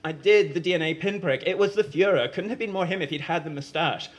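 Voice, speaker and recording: A man's voice: fairly high pitch, fast, lo-fi sound, pitched above the performer's own voice, close to an everyday voice. A man voicing an exasperated young woman time traveler who complains in dramatic outbursts.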